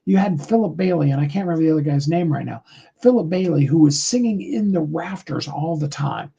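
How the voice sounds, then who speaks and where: High-voiced man with a husky, muffled sound. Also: animated, fairly quick, dark tone. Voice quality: high tenor voice. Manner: rambling, earnest, with many fillers. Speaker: host of a live motivational call. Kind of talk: casual motivational talk.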